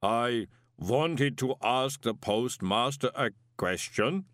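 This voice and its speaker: A man voicing a huge, impassive clay golem in an audiobook, with slow, formal, measured speech and a rumbling voice. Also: lively intonation, fairly bright tone, no room sound, clean studio sound. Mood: formal, calm. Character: impassive male clay golem, huge, literal-minded, sternly moral. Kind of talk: audiobook character voice.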